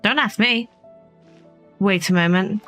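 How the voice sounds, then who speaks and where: A woman's voice: medium pitch, slow pace, fairly bright tone, soft background music. A woman hosting a gaming playthrough, chatting casually and reading dialogue aloud with dry, playful teasing. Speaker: gaming video host.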